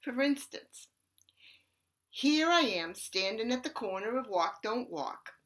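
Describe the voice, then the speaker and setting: An actress performing a stage monologue as an eccentric bag lady with a raspy voice, talking loudly and cackling, then dropping to hushed asides. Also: on the high side, animated, takes it slowly. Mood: manic. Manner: loud, cackling, swings between shouting and hushed asides.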